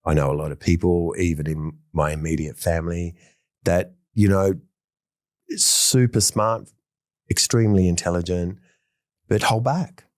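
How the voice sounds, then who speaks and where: A man's voice: low-pitched, no room sound, clean studio sound. A middle-aged man, a fashion designer talking in a casual interview, enthusiastic and rambling with many fillers and restarts. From Australia.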